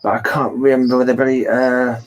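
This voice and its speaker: A man's voice: medium pitch, little pitch movement, fairly quick, noisy background. A man in a casual book discussion, thinking aloud and correcting himself as he goes. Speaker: podcast host.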